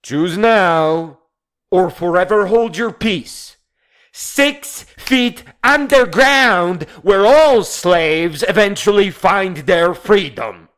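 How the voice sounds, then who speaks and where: A man's voice: sits high, very slow, fairly bright tone, clean tone. A man delivering a dramatized speech in a grandiose, solemn, theatrical manner. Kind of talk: dramatized oratory and scripted monologue. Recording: music behind the voice.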